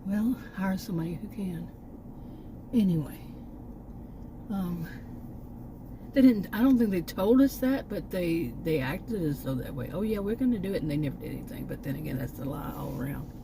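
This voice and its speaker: A fairly low-voiced woman from Texas, speaking with a Texan accent, rambles on in a chatty vlog monologue, stopping to correct herself and filling gaps with "um" and "you know." She is recording outside near a stretch of woods, and steady outdoor noise runs under her voice the whole time. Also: normal speed. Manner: rambling, chatty, with frequent fillers and self-corrections. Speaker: channel host.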